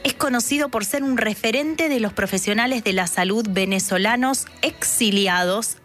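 A woman's voice: a little high, moderate pace, a bit bright, soft background music. Chatty woman host. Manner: friendly, chatty questions with fillers. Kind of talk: radio-style interview hosting.

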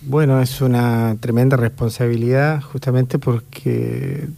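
Thoughtful Chilean conductor, dry sound. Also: male voice, medium pitch, little pitch movement, measured pace, creaky, mellow, no echo. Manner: thoughtful, hesitant with many fillers. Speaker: orchestra conductor. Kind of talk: radio interview guest.

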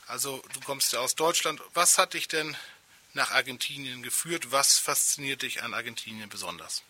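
A man's voice: medium pitch, moderate pace, bright, forceful tone. A man presenting a radio programme as host and interviewer. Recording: music behind.

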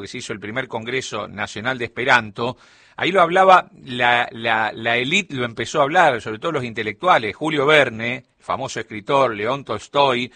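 A man's voice: mid-range voice, sharp tone, no room sound. A middle-aged man with a Rioplatense Spanish accent, explaining a topic as a radio columnist in a studio chat. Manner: explanatory, storytelling delivery.

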